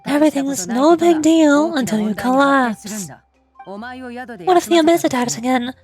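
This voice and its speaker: A protective older sister from a game, acted by a woman streamer, firm and blunt in manner. The voice is fairly high, with faint music underneath. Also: expressive, unhurried. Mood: insistent.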